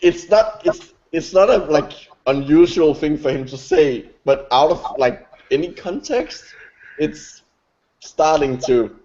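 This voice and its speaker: A man's voice: fairly high pitch, lively intonation, slow pace, mellow. A man co-hosting a podcast, joking around and stumbling over his words. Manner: hesitant, repeats words, jokey. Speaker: podcast co-host. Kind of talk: casual banter. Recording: dull lo-fi audio.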